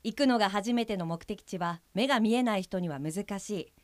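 A woman's voice: mid-range voice, normal speed, a little mellow, dry recording, natural voice. A woman playing an earnest guide helper for blind people in an audio drama, explaining in a polite, businesslike manner. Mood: polite, explanatory.

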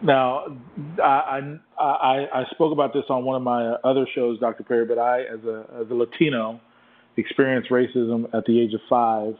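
A man from Puerto Rico whose first language is Spanish, hosting an interview and speaking haltingly, often repeating his words. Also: medium pitch, level tone. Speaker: host. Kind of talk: interview conversation. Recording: muffled phone line, faint hiss.

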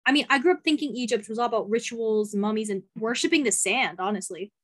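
A young woman takes part as a podcast guest and explains her points in a friendly, casual way. Her voice sits a little high. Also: fast. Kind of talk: casual conversation.

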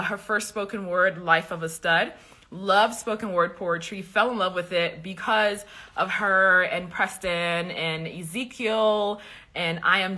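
A woman's voice: mid-range voice, pushed and bright. A woman from Liberia hosting a live podcast stream, chatting casually and upbeat with her viewers, with lots of ums. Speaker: podcast host and coach.

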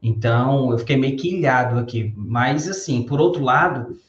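A man's voice: medium pitch, normal speed. Man from Ceará on a roomy, dull line. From Ceará, Brazil. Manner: relaxed, repeats phrases as he talks. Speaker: podcast guest, biomedical course coordinator. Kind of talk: casual podcast chat.

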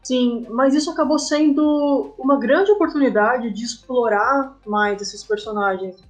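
A Brazilian woman speaking as an interview guest, explaining her creative work casually and thoughtfully in short, halting phrases. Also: fairly high pitch. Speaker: interview guest, comic artist and game writer. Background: background music.

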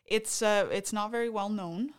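Woman, mid-pitched voice, podcast co-host, casual chat, dry playful teasing. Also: fairly even intonation, moderate pace, smooth. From Canada. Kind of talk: casual chat, recounting a concert.

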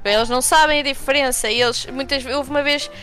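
Young female guest, European Portuguese accent, high register, informal and emphatic anecdote-telling, music underneath. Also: steady tone, fast talker, a bit bright. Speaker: interview guest. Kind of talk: casual conversational anecdotes.

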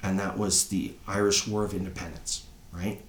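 Low-voiced canadian dude rambling on a podcast, keeps saying right?, echoey room. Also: male voice, fairly even intonation, normal speed. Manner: rambling, says "right?" often. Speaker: podcast co-host. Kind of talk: casual chat.

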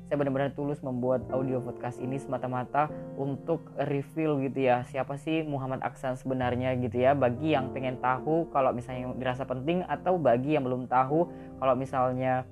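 A man's voice: medium pitch, steady tone, fairly quick, music in the background. A young man hosting an audio podcast, telling his own story in a casual motivational monologue, chatty and full of fillers, dropping in English words. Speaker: podcast host. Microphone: audio podcast recording.

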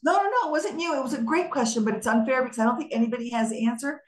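A woman with a fairly high voice chats as a podcast co-host. She tells things in an animated, casual way, slipping into quoted remarks. The recording has a clearly echoey, roomy sound. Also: rapid-fire, crowd noise. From Colombian American, United States. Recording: echoey room.

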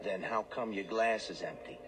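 A man plays a world-weary bartender in a radio drama, his voice fairly low. He talks bluntly and wryly in barroom colloquialisms, with music behind him. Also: fairly even intonation, normal speed, natural voice. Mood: brusque, annoyed. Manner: brusque, wry, colloquial.

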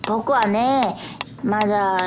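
A woman acting a dreamy, unsure young boy in a radio drama, musing and repeating phrases haltingly. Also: medium pitch, heavy background noise. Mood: bashful, hesitant. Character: dreamy young boy Hana, unsure and wondering about life. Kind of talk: theatrical radio drama monologue. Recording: music under the voice.

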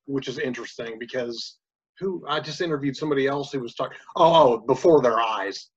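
Male podcast interviewer, hesitant and filler-heavy, husky voice on a dull lo-fi recording. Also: medium pitch, a bit bright. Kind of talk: casual interview.

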